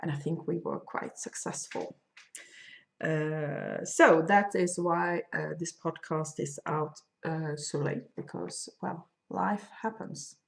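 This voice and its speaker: A woman in her fifties with a Swedish accent, a crochet designer chatting casually in her video podcast from a home studio, thoughtful with many pauses. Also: low-pitched, creaky. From Sweden. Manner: slow, thoughtful, with frequent pauses and fillers. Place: home studio room, indoors. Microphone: fixed camera at desk.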